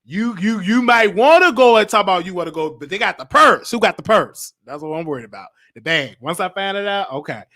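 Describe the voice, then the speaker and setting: An American man hosting a live call-in show in a casual, animated, repetitive way. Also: high voice, brisk, few pauses. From Chicago, United States. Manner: animated, repeats himself. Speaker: online call-in show host.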